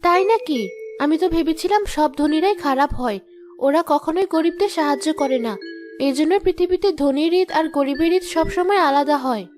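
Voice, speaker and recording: A woman's voice: high-pitched, normal speed, darkish tone, lightly acted. A woman voicing a proud, plain-spoken poor housemaid and young mother in a cartoon moral story, sounding plaintive and reproachful. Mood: earnest. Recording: music behind the voice.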